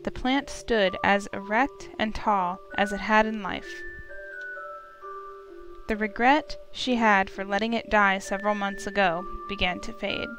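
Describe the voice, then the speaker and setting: Female narrator, visual novel. Mid-range voice, scripted storytelling read, melodic mallet music clearly behind. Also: mid-range voice, steady tone, unhurried.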